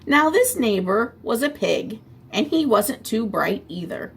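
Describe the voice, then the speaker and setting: Woman reading as a chatty, gravelly wolf. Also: a little high, big pitch swings, measured pace, slight background noise, exaggerated voice. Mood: persuasive. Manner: chatty, persuasive storybook telling. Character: smooth-talking male wolf pleading his innocence.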